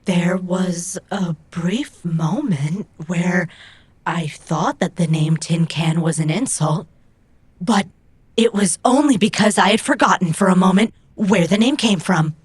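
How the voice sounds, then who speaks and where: A woman's voice: fairly low pitch, unhurried, breathy edge. A woman playing a proud, stubborn female robot who speaks in formal, precise, stilted phrases in an audio drama. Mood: reflective.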